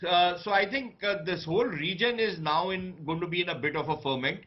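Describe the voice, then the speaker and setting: A man with an Indian accent giving off-the-cuff political analysis on a news panel, pausing often as he goes. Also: high voice, highs cut off.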